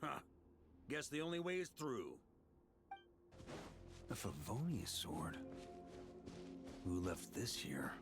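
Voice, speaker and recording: An actor playing a seasoned, unflappable knight commander in a video game, wry and musing to himself. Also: male voice, medium pitch, wildly swooping pitch, takes it slowly, long pauses, music in the background, exaggerated voice. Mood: musing, resolute. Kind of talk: dramatic video game voice acting.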